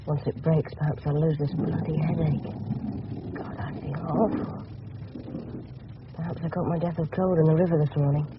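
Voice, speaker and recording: A man's voice: a little high, flat delivery, moderate pace, pauses often, close to an everyday voice. Well-spoken anxious English schoolboy played by a man, radio drama, dull noisy old broadcast. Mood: miserable. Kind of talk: 1970s radio drama acting.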